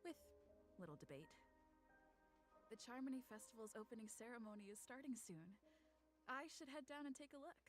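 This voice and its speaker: Actress as an upbeat young businesswoman, fairly high voice, game dialogue, faint music. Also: expressive, moderate pace, long pauses, lightly acted. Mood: pleased, upbeat.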